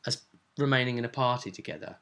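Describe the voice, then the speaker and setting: A man's voice: mid-range voice, fast, fairly bright tone. A man chatting casually as a game master, recapping a tabletop role-play session and acting out bits as he goes.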